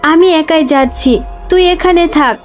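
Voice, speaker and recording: Woman voicing a gentle high-pitched cartoon mother fox. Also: normal speed, soft background music. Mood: caring. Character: gentle caring mother fox, talking to her young child. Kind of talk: children's fairy-tale cartoon dubbing.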